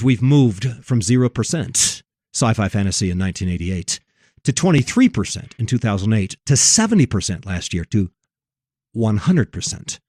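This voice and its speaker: Man, lively varied intonation, emphatic preachy delivery, cultural commentary, dry sound. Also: lowish voice, dry recording. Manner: emphatic, preachy, repeats phrases for effect. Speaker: host. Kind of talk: religious commentary on film and culture.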